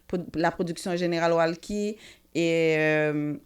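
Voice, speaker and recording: A woman's voice: low-pitched, a little slow, clear, resonant tone. A woman hosting a casual interview, hesitant with many fillers. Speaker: talk show host.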